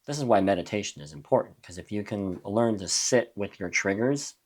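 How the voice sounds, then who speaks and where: Young adult male guest, very low voice, reflective and halting. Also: expressive. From USA (New Mexico). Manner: reflective, halting, frequent restarts. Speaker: guest musician. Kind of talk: casual interview chat.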